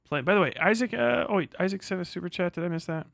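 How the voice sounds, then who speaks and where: A man's voice: high voice, expressive, fast, gravelly, a bit bright, no echo. A man livestreaming a casual, rambling rant, full of profanity and slang, often stammering over repeated words. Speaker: live streamer, online commentator.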